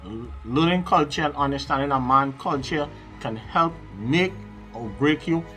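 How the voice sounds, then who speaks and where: A man's voice: on the high side, normal speed, bright, forceful tone, faint music behind. A middle-aged man giving casual advice straight to camera in a small room at home, emphatic and preachy, repeating words and speaking directly to his viewers. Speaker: psychic advisor and channel host.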